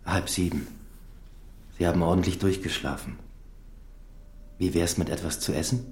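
An actor playing a sympathetic prison doctor, calm and kind, in a radio play. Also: male voice, low-pitched, normal speed, close to an everyday voice. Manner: calm, kind, frustrated at authorities. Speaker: doctor Cassini.

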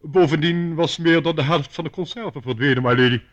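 An actor playing a stiffly deferential, dutiful butler in a radio play, formal and ceremonious. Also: male voice, a little high, a little fast, muffled recording, natural voice. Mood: deferential, grave.

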